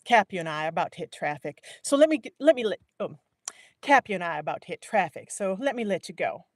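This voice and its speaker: A woman voicing a lively, stern, sarcastic woman talking on the phone, loud and scolding. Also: medium pitch, quick pace, no echo, clean studio sound. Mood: bossy.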